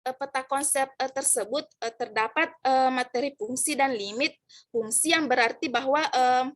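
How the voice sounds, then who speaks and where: High-voiced young woman presenting slides hesitantly. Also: normal speed, fairly bright tone, faint music behind. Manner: hesitant, many "eh" fillers and restarts. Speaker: student presenter. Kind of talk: reading slides in a class presentation.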